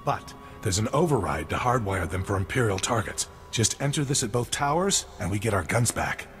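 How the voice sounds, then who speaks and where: An actor voicing a businesslike resistance fighter in a video game, giving matter-of-fact, clipped military briefings. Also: male voice, lowish voice, sing-song, a little fast, a bit bright. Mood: earnest, businesslike. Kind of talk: scripted video game dialogue. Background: game music.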